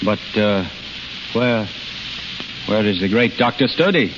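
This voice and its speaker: A man's voice: fairly low pitch, animated, slow. An actor playing a proud, impatient unlicensed healer in a 1930s radio drama, insistent and challenging, repeating his words. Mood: brusque. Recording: hissy, muffled 1930s radio recording, hall reverb.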